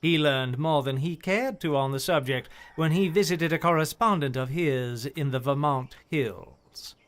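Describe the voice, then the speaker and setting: A man in a radio play acting a formal, courteous gentleman who chairs a hearing with orderly, articulate speech. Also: fairly high pitch, unhurried. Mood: earnest, storytelling.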